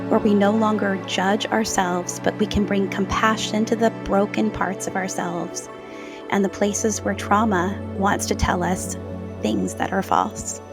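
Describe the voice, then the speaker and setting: Female meditation guide, mid pitch, gentle soothing pauses, clear new-age music bed. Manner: slow, soothing, soft-spoken with gentle pauses.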